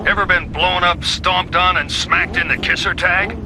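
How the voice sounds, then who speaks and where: A man's voice: sits high, fairly even intonation, normal speed, heavy background noise. A man voicing a tough, bossy, no-nonsense racing coach in a video game. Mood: menacing, instructive.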